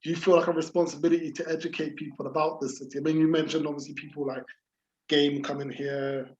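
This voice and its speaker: A man's voice: on the high side, little pitch movement, fast, darkish tone. A man with a Bristol accent hosting a podcast, asking rambling questions with many restarts. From England. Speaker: podcast host. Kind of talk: casual interview chat. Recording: dull lo-fi podcast audio with faint noise.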